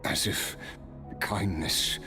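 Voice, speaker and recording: An actor playing a weary immortal swordsman in a video game, wistful and self-deprecating, telling his story haltingly with pauses. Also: over music. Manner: halting, pauses mid-sentence, clears his throat. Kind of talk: dramatic storytelling in a game cutscene.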